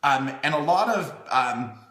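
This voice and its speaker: Guy doing a kids storytime w/ wry asides, higher bright voice, drawn out and theatrical, kinda echoey. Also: expressive, a little slow. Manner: theatrical, talks as if to small children. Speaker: online host reading a children's book. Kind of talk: playful storytime reading with chatty asides. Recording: echoey room.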